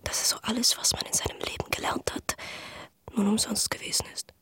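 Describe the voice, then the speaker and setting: A woman playing a frightened, homesick girl in a camp sick barrack, lamenting in a hushed whisper in a radio play. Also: fairly quick, natural voice. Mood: frightened, sorrowful. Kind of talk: German radio play acting.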